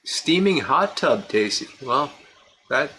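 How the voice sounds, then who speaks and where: Bright-voiced man chatting with his live chat. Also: medium pitch, sing-song, slow, husky, poor audio. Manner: chatty, answers chat comments by name, laughs easily. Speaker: livestream host reading viewer chat.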